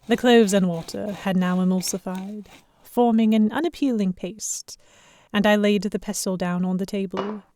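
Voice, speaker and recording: A woman playing a sharp-tongued young lady hostage among pirates, narrating her own story in an expressive, introspective way. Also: mid-range voice, moderate pace, a little hiss or hum, natural voice. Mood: amused. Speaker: narrator and lead character. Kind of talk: first-person audio drama narration.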